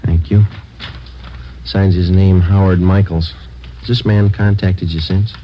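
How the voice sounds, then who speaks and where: An actor playing a stoic, terse police sergeant in a 1950s radio drama, deadpan and matter-of-fact. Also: male voice, sits low, measured pace, slight background noise. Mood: businesslike. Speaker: lead actor and narrator. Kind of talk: 1950s radio drama acting, clipped narration. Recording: old muffled radio recording with music.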